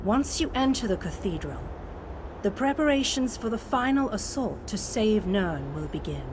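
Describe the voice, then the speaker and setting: A woman's voice: mid-range voice, big pitch swings, moderate pace, noisy background, lightly acted. An actress playing a serene, wise woman guiding a refuge city in a fantasy game, speaking in a solemn, formal way. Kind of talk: scripted fantasy game dialogue.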